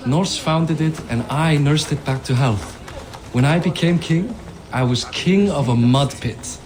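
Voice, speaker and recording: A man's voice: on the high side, unhurried, close to an everyday voice. An actor playing a boisterous, proud middle-aged Viking king in a video game cinematic, hearty and boastful as he declaims.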